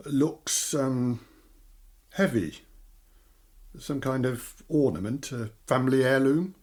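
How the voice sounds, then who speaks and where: A man's voice: mid-range voice, takes it slowly, darkish tone, husky. A man playing a courteous, evasive older family solicitor in an audio drama, speaking formally and a little hesitantly. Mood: polite, hesitant. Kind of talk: naturalistic audio drama acting.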